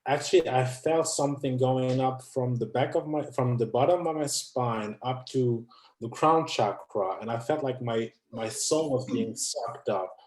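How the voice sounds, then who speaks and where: A man's voice: medium pitch, a little slow, a little mellow, roomy sound, rough recording. A man in his thirties, a non-native English speaker from France, talking as a guest in a hesitant way with many restarts. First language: not English. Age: 30s. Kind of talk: conversational interview.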